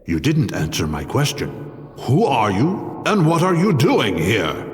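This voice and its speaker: A man's voice: medium pitch, huge pitch swings, measured pace. An actor playing a mad, haughty outcast druid villain in a fantasy audio drama, pompous and mocking in his theatrical delivery. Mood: cold, commanding. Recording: long reverb, music underneath.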